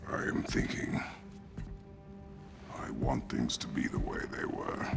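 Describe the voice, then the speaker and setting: Very deep, gravelly warrior father. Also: little pitch movement, slow, exaggerated voice. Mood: brooding. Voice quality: very deep, gravelly, breathy at times. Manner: terse, solemn, formal, no contractions. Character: stern, guarded warrior father, middle-aged, huge, weary. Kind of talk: cinematic video game acting. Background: loud cinematic music.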